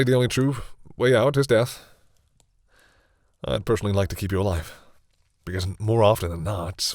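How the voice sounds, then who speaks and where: Guy doing a low voiced vampire captor, kinda haughty but awkward and hesitant, audio roleplay, very dry close sound. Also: no echo. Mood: matter-of-fact warning. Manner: hesitant, sighing, wryly condescending toward humans. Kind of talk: intimate audio roleplay addressed to the listener.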